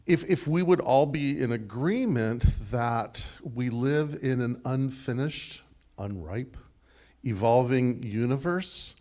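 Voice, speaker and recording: A man with a big voice giving a discursive, animated sermon response, full of fillers and restarts. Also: mid-range voice. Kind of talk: conversational sermon dialogue.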